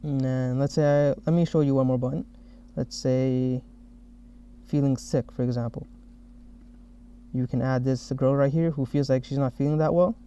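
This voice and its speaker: Man, mellow mid-pitched voice, developer walking through a software demo, slightly muffled lo-fi recording. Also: steady tone, normal speed, long pauses, clean tone. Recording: dull, muffled lo-fi audio.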